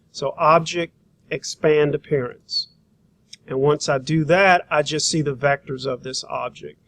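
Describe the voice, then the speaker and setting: A man teaching a software demonstration, talking informally and thinking aloud as he works through each step. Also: fairly high pitch. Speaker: graphic design instructor.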